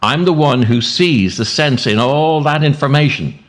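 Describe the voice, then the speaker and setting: A man's voice: mid-range voice, moderate pace, natural voice. A man voicing his own earnest, nagging wise self in comic dialogue, pleading and exasperated, sometimes stammering. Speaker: podcast writer and performer. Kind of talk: comic scripted dialogue with himself.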